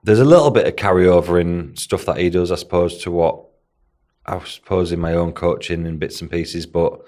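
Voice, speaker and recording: A man's voice: deep voice, moderate pace, darkish tone. A man with a Hull accent co-hosting a casual podcast as a bodybuilding coach, rambling and dropping in "do you know what I mean" as he talks. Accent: Hull, Northern English. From Hull, England.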